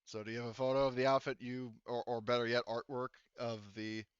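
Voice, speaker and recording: A man working as game master, narrating a tabletop roleplaying game in a halting, conversational way. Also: mid-range voice, little pitch movement, clear, resonant tone, no echo, dull audio.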